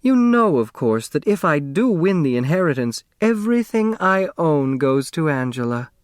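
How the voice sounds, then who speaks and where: A man's voice: on the high side, sing-song, normal speed, no room sound, clean studio sound, pitched above the performer's own voice. A man voicing a middle-aged social-climbing mother in a storybook, wheedling and honey-sweet with affected airs. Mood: honeyed, coaxing.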